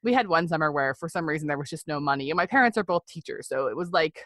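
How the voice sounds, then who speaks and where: Podcast guest: American woman in her thirties, low-pitched and dry-sounding, candid and chatty, sharing personal stories. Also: lively intonation, fast talker, fairly bright tone, no room sound. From Seattle area, United States. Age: 30s. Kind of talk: personal storytelling in a conversational interview.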